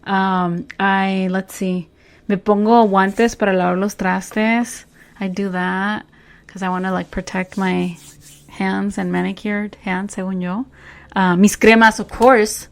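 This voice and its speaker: Brief: forties woman, rambling talker, mid-pitched, trails off and hunts for words. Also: flat delivery, a little slow, vocal fry. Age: 40s. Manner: rambling, speeds up in bursts, searches for words. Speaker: podcast co-host. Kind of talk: casual chat.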